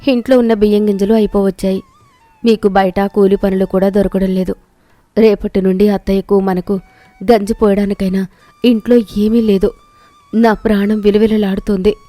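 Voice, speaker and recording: Lady doing a poor worried village housewife, gentle pleading tone, mid pitch, story video. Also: level tone, moderate pace, no echo, faint music behind, natural voice. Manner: plaintive, anxious.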